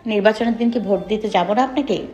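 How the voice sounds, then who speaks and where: A young woman with a Bangladeshi accent playing a playful, gently pouting young wife who teases and complains mildly in a romantic audio story. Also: medium pitch, fast, faint music behind, natural voice. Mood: teasing.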